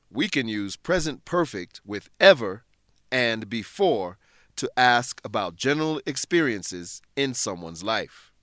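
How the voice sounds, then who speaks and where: A man presenting an English lesson in scripted narration, explaining things in a measured way with careful enunciation. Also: mid-range voice, a bit bright, dry recording, clean studio sound.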